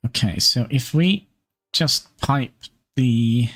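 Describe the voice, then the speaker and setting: Man thinking aloud over gameplay. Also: mid-range voice. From Norway. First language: Norwegian. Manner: thinking aloud, halting with fillers. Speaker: streamer. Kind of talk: live gameplay commentary.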